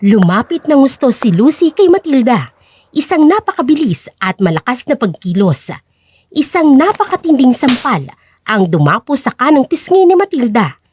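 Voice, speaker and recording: A woman's voice: sits high, big pitch swings, moderate pace, darkish tone, husky. A woman narrator reading a scripted story in a dramatic style.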